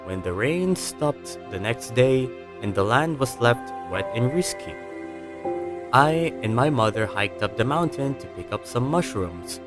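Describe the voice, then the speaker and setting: A man narrating an audiobook story in a dramatic, measured way with pauses. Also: medium pitch. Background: music.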